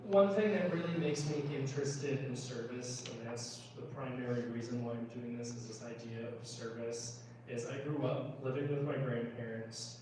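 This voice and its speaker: American man in a very echoey room. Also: medium pitch. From United States. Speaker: congressional candidate speaking at a town hall. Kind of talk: informal personal political speech. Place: indoor town hall meeting room. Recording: echoey room, distant mic, background noise.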